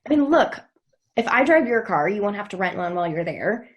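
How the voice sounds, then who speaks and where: A woman's voice: mid-range voice, sing-song, fairly quick, highs cut off, close to an everyday voice. Casting: bold young woman female actor, mid-range voice, teasing and direct, naturalistic TV drama. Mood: eager, persuasive. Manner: frank, sarcastic. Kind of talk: naturalistic table read of a TV drama.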